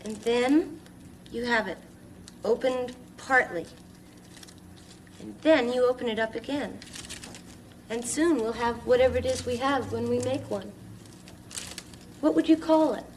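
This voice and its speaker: Children's show lady, actress: high voice, gentle, reassuring, simply phrased, slightly off-mic. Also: a little slow, pauses often, distant mic, a little hiss or hum, close to an everyday voice. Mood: gentle, instructive. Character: kindly, encouraging lady of a puppet neighborhood. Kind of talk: children's television acting.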